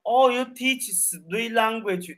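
A man in his thirties with a Chinese accent, practising casual English conversation as a learner in halting, simple broken English with repeated phrases. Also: very high voice, a bit bright. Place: small room indoors, video call. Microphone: desk microphone.

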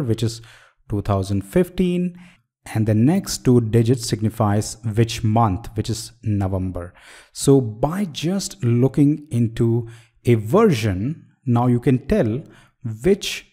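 A man teaching a screen-recorded software tutorial, explaining step by step and asking the viewer questions. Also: medium pitch. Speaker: software trainer.

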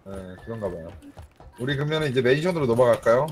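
A man's voice: mid-range voice, lively intonation. A man in his twenties chatting casually and teasing his friends while playing an online game together. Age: 20s. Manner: playful, teasing, quick back-and-forth. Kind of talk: casual gaming chat.

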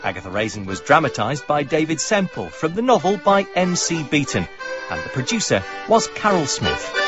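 A man announces radio drama credits in a scripted style. His pitch moves in lively swings over prominent violin music, with a slightly muffled sound. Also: moderate pace. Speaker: continuity announcer.

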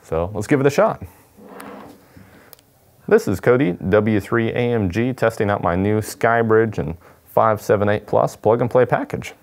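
A man's voice: lowish voice, lively intonation, a little slow, a little hiss or hum. A man, giving a casual unboxing demonstration as a product presenter. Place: indoor studio at a table.

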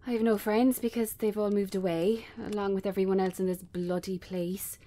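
A woman performs a bartender in her thirties in an intimate radio-style drama. She is sharp-tongued and weary, talking in a halting, natural, sardonic way. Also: mid-range voice, little pitch movement, natural voice. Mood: frustrated, venting. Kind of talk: naturalistic drama script reading.

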